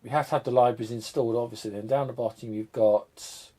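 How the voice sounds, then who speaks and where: A man hosts a live stream, still a bit congested and coughing from a cold. He rambles and thinks out loud while he tinkers, poking fun at his own disorganisation, and his voice now and then drifts back from the mic. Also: mid-range voice, fairly even intonation, fast talker, slightly rough. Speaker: retro computer channel host. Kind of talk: casual live stream chat.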